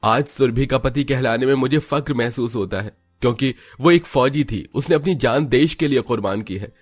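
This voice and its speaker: A man's voice: mid-range voice, moderate pace, slightly rough, soft background music, close to an everyday voice. A man voicing an earnest, devoted young husband and son in a scripted Hindi cartoon moral story. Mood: solemn, proud.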